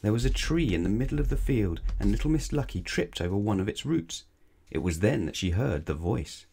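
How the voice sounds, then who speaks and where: A man's voice: on the low side, sing-song, a little fast, gravelly, mellow, husky. A man reading a children's bedtime story aloud, dramatically, stretching and stressing words. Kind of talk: expressive read-aloud bedtime narration.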